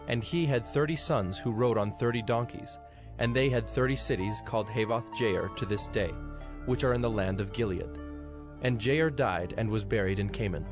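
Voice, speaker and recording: A man narrating scripture from a script in a measured, solemn manner. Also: on the low side, music in the background.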